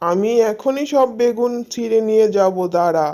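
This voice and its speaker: Mellow tone, greedy tigress auntie, bullying neighbour, children's cartoon, acted by a woman. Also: fairly high pitch, animated, moderate pace. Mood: greedy, bossy.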